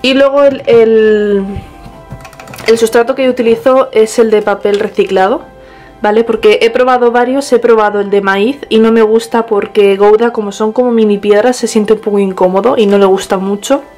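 A woman's voice: fairly high pitch, fairly even intonation, normal speed, darkish tone, faint music behind. Chatty young woman. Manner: chatty, restarts and self-corrections. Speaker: pet vlogger. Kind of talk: casual home tour. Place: bedroom at home. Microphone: handheld small camera.

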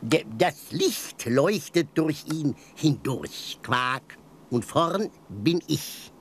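A fussy frog doctor from a children's audio play. He talks very slowly in halting phrases broken by croaky quacks, with wide swings of pitch. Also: expressive, a little hiss or hum, exaggerated voice. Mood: explaining, halting.

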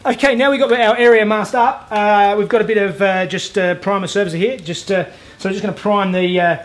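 A man in his thirties with an Australian accent, showing a car body repair in his garage workshop, relaxed and practical with many "uh" fillers. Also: high voice, a little fast, faint music behind. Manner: relaxed, practical, self-deprecating, lots of "uh" fillers. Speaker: DIY car repair host. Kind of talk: hands-on workshop tutorial with voice-over. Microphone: lapel mic.

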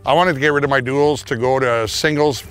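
A man's voice: medium pitch, steady tone, fairly quick, a bit bright, smooth. Middle-aged man, down-to-earth farmer, casual outdoor testimonial on a lapel mic, faint music behind. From Canada. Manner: plain-spoken, casual, with restarts. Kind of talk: testimonial interview. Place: outdoors on a farm yard.

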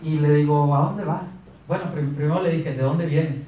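A man in his thirties with a Mexican accent, giving a lively motivational sales talk on a handheld mic and asking the audience questions. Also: a little high, normal speed, distant mic, slight background noise. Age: 30s. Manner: animated, asks the audience questions. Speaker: sales speaker. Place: meeting room in front of a projector screen. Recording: echoey room recorded from afar.

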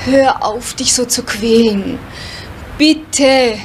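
A woman's voice: fairly high pitch, drawn-out words. An actress playing a concerned, pleading woman in a 1970s German radio drama. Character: pleading, concerned woman comforting a young man. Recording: faintly noisy old radio recording.

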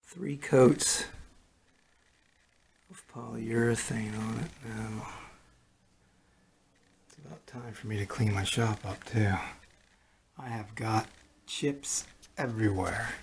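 A man's voice: fairly low pitch, slow pace, vocal fry, pauses often, reverberant, a little hiss or hum. A middle-aged man casually narrating his own woodworking project in a small home workshop, talking in a plain, matter-of-fact way. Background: talk radio playing in the background.

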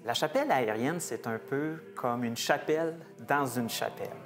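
A man in his forties, a museum research director, explaining the history of a chapel's stained glass in a measured, hesitant way with frequent fillers. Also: medium pitch, soft background music. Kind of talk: explanatory heritage talk. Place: small wood-paneled chapel, indoors.

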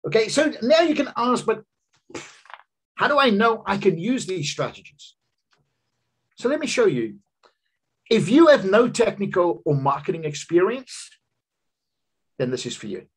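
A man's voice: big pitch swings, a little slow, long pauses, breathy edge. A man in his fifties, a business coach, giving an earnest seminar talk over slides on a video call from a home office. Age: 50s. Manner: earnest, personal storytelling pitch with emphatic rises. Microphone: video call webcam.

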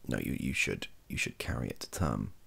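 A young British man, softly spoken, rambling hesitantly through an opinion monologue in a gravelly, husky voice and often trailing off. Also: very deep voice, big pitch swings, a little fast. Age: young adult. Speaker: ASMR YouTuber. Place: small home room, indoors.

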